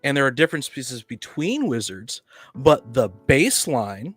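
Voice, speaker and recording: A man's voice: medium pitch, very animated, normal speed, a bit bright, breathy edge, soft background music. Insistent man arguing his point. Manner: argumentative, insistent, interrupting. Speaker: podcast panelist. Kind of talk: casual debate.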